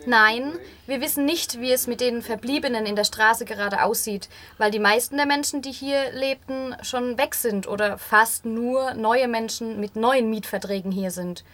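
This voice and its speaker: A woman's voice: on the high side, moderate pace, few pauses, a bit bright. A woman reading translated interview answers as a voice-over. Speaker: voice-over reader.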